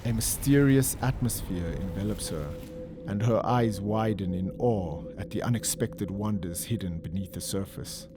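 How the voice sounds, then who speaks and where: Low-pitched man narrating over music. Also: normal speed. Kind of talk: scripted dramatic story narration. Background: music and ambience.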